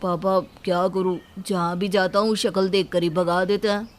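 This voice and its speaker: A woman playing a lazy, dim-witted young son with a sheepish manner in an animated story. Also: fairly low pitch, moderate pace, close to an everyday voice. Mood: sheepish, whining. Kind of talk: animated moral story acting.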